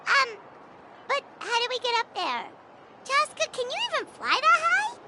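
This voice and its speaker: Squeaky, bubbly little fairy sidekick. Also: female voice, big pitch swings, normal speed, bright, forceful tone, exaggerated voice. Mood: doubtful. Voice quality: squeaky, childlike. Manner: exclamatory, refers to herself by name. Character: small childlike flying fairy companion, bubbly and chatty. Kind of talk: video game character dialogue.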